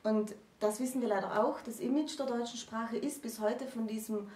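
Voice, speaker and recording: A woman in her thirties explaining literature in an articulate, emphatic way, in deliberate phrases. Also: fairly high pitch, steady tone, darkish tone. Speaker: presenter of a literature explainer series. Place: small indoor room with bookshelves. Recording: roomy, distant microphone.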